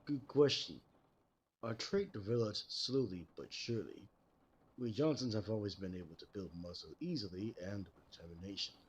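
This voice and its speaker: A low, grave American voice from a man in character as an ageless detective in an audio roleplay. He explains things calmly and formally, in measured phrases, over audible background noise. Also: highs cut off, natural voice. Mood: matter-of-fact, explanatory. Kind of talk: scripted roleplay audio drama.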